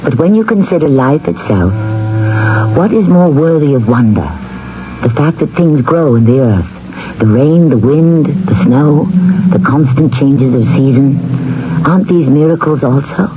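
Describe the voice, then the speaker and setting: Theatrical male radio host, higher-pitched and animated. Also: unhurried, background noise. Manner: theatrical, musing, rhetorical questions. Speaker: host and narrator. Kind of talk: scripted narration for old-time radio mystery. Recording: muffled vintage radio broadcast. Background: music.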